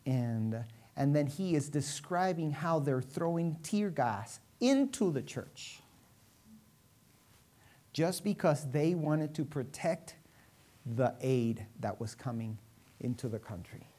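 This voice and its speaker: A woman preacher from Venezuela with a low-pitched voice delivers a sermon. She leans on key phrases with dramatic pauses and puts rhetorical questions to the congregation. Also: animated, mellow.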